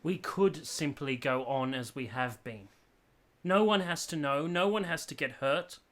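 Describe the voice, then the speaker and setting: A man's voice: on the high side, measured pace, a bit bright. An actor playing an ardent, stubborn young man in love in a period radio drama, earnest and pleading with formal phrasing. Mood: dejected. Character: ardent, stubborn young male lover from a village.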